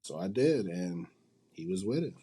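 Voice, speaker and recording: A man's voice: low-pitched, sing-song, moderate pace, dark tone, husky. A man speaking as an interview guest in an informal, slangy, conversational way. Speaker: manga company CEO, interview guest. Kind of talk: casual interview chat.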